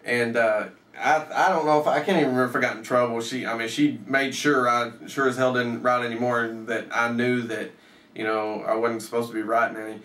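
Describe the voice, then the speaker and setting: A man's voice: mid-range voice, steady tone, brisk, a bit bright. A man in his thirties telling a personal story casually, with swearing and acted-out bits of dialogue. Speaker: storyteller, host. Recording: roomy echo, off the mic.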